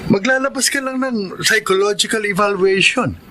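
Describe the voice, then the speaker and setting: A man's voice: high voice, moderate pace, sharp tone, low-quality recording. An actor playing a pushy, scheming man who insistently presses a friend for a favour in a radio drama. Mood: pushy, persuasive. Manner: persuasive, insistent.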